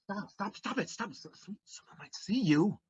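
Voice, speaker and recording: Man acting a husky, exasperated ex-husband. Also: high-pitched, animated, moderate pace, dull audio, exaggerated voice. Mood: pleading, subdued. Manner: exasperated, dry retorts. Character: weary, defensive, prickly ex-husband, a poet. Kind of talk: audio play acting.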